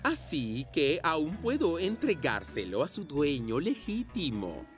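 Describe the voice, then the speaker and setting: Animated, fatherly cartoon delivery vehicle. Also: mid-range voice, slow pace, over music. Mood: proud. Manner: authoritative, fatherly. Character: stern but proud father, adult male delivery vehicle. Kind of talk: children's cartoon dubbing.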